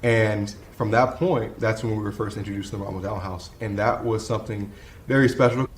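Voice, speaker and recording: A man's voice: lowish voice, fairly even intonation, a little fast, roomy sound, a little hiss or hum. A man in his twenties, reflective and earnest, sharing his family's story in a testimonial interview. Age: 20s.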